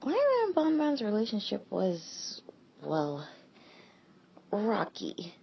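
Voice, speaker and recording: Muffled noisy mic, woman, gravelly fry, medium pitch, thoughtful halting informal review. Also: wildly swooping pitch. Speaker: amateur voice actor.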